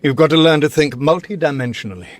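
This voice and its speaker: A man's voice: on the high side, quick pace, lightly acted. A man playing a smug, domineering editor-in-chief in a radio comedy, with clipped, pompous salesman-like pronouncements. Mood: rapturous, grandiose. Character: smug, domineering male corporate editor-in-chief, grandiose zealot. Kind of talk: radio comedy drama acting.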